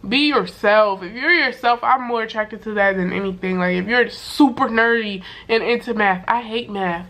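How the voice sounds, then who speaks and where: A young woman with a voice worn hoarse from sickness, telling a story to camera in a loose, slangy way full of fillers and swearing. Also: medium pitch, normal speed, fairly bright tone. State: hoarse from being sick. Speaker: vlogger. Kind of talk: casual storytime chat to camera.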